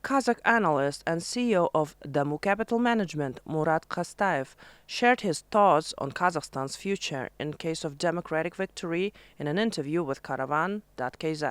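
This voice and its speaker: A man's voice: on the high side, fairly bright tone, clean tone, dry recording. Man reading a news voice-over. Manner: measured, formal delivery. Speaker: news narrator. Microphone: studio voice-over mic.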